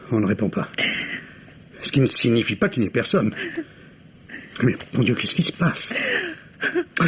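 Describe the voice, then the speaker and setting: A man's voice: fairly low pitch, slight background noise, natural voice. Man acting anxious father, dull vintage radio sound. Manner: halting, repeats words under strain. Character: anxious professor, worried father hiding his fear. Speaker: actor in a radio mystery play. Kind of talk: old French radio drama acting.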